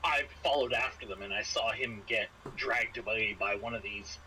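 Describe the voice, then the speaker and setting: Male game master, bright forceful voice, halting improvised narration, noticeable noise on a home recording. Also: lowish voice, low-quality recording. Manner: halting, repeats phrases as he goes. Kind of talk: improvised narration of a tabletop role-playing game.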